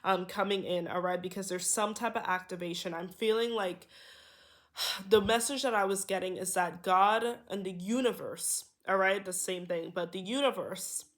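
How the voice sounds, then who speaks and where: A woman's voice: mid-range voice, moderate pace, a bit bright. A woman with a nasal voice, a little under the weather, giving a spiritual card reading to her viewers in a reassuring way, often checking in with "okay?". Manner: reassuring, repeats "okay?" and "here". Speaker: tarot reader.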